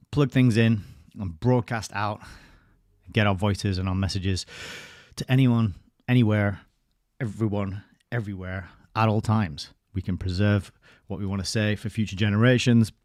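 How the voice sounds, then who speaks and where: A man hosts his own live stream, talking loosely and conversationally and dropping wry asides along the way. His voice sits fairly low, and the close desk microphone gives a dry sound with no room echo. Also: a little mellow. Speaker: host of a live-streamed commentary show. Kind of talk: solo live-stream commentary.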